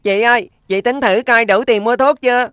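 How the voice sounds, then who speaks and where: A woman's voice: a little high, moderate pace, close to an everyday voice. A woman voicing an earnest, respectful young boy in a cartoon dub. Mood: puzzled, eager. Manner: earnest, childlike.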